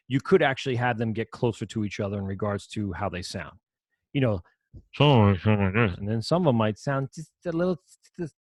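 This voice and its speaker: A man with a low voice teaching an audio production class over a screen recording, explaining in a casual, rambling way with frequent self-corrections. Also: no room sound, studio quality. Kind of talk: screen-share software lesson.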